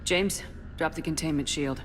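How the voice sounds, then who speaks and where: A woman's voice: low-pitched, moderate pace, natural voice. A woman playing a resolute, authoritative military commander in a dramatic sci-fi video game. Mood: urgent, defiant. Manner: firm, authoritative, determined. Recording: reverberant space with loud ambient noise.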